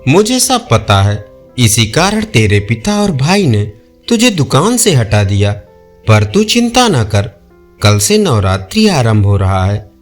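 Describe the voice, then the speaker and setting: A kindly old village woman from an audio folk tale, voiced by a man. The delivery is slow and melodic, motherly and sure of what it knows. Music plays underneath. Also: mid-range voice, big pitch swings, pitched below the performer's own voice. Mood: reassuring.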